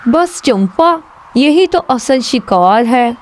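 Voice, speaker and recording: A woman's voice: high-pitched, lively intonation, takes it slowly. A woman voicing a proud, commanding king out hunting in a moral storybook tale. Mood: determined. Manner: commanding, lordly. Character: proud hunting king, male, stubborn but softening.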